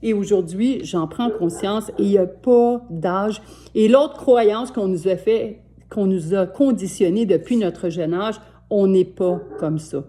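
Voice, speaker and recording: Québécois woman coach, sixties, mellow warm tone, earnest and repetitive. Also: mid-range voice, measured pace. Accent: Québécois French. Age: 60s. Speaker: life coach. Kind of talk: motivational self-help talk to camera. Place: home.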